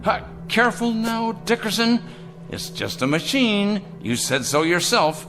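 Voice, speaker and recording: Radio drama: cold, clipped executive, condescending and commanding, lively swoops in pitch, music underneath. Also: male voice, a little slow, full character voice. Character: cold, imperious factory boss who prizes machines.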